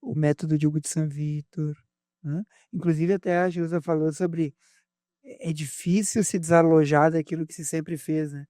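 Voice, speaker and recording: A man's voice: a little high, fairly even intonation, normal speed, warm tone, dry recording, studio quality. A man, co-hosting a podcast in casual conversation, hesitant, with many fillers and restarts. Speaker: podcast co-host.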